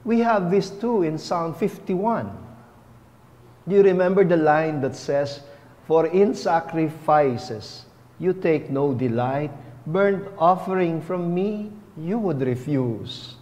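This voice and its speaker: A middle-aged man, a bishop, giving a homily at a lectern in a chapel, speaking deliberately on a lapel mic. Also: on the high side, a little mellow, long reverb tail. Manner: slow, deliberate, teaching tone with rising emphasis. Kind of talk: sermon.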